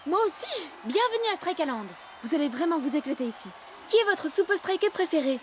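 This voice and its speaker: A woman voicing a bubbly, devoted young female football fan in a French cartoon, gushing and quick to take offence. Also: extremely high pitch, very animated, a little hiss or hum. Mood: gushing, cheerful. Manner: gushing, exclamatory.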